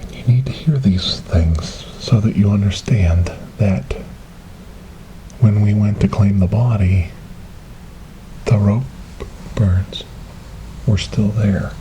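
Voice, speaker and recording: A man performing an ASMR monologue as a gentle, grieving storyteller in a witch-trial roleplay, speaking softly and haltingly in a breathy, often whispered voice close to the microphone. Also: fairly low pitch, slight background noise, low-quality recording. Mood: solemn, reminiscing. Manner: slow, halting, earnest, soft-spoken. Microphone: close binaural microphone.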